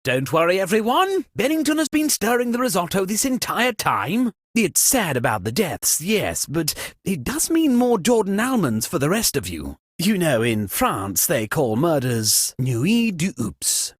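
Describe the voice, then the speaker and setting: Posh-mannered rich lord party host for a comedy game, super animated pitch, pompous and flippant, very dry close recording. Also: male voice, unhurried, no echo, exaggerated voice. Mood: breezily callous, then airy, affected. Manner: theatrical, arch, mock-genteel aristocratic delivery. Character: pompous, flippant, wealthy lord hosting a murder-mystery party. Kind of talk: comedic video game character lines.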